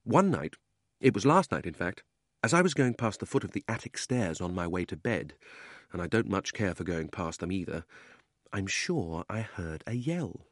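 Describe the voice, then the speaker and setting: A man's voice: low voice, very animated, moderate pace, dry recording, clean studio sound. Man voicing an emphatic, defiant storybook boy. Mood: brooding, mysterious. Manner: wound-up, emphatic. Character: miserable, defiant young country boy. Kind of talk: storybook character reading.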